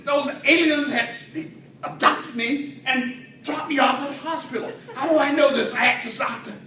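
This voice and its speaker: A man delivering a humorous tall-tale speech to an audience in a theatrical way, with dramatic pauses and swells. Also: extremely high pitch, bright, forceful tone. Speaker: speech contest storyteller at a Toastmasters club. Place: indoor room with an audience. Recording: roomy, distant mic, faint noise.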